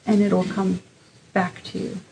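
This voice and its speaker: Female meditation guide, gentle and unhurried, informal instruction, noticeable vocal fry, light ambient noise. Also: medium pitch, completely flat delivery, poor audio. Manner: gentle, unhurried, pausing between short phrases.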